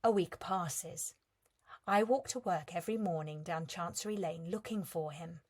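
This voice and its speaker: A woman in her thirties reading a reflective piece of prose in a measured, calm way, softening at the ends of lines. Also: fairly low pitch. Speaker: reader of a competition entry.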